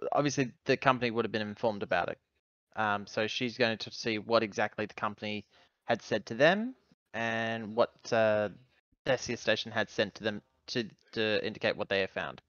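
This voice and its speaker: A man's voice: fairly low pitch, a bit bright, no echo. Male tabletop player, dry muffled sound. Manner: describes character's actions, thinking aloud. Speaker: player in a tabletop roleplaying game. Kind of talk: casual game chat.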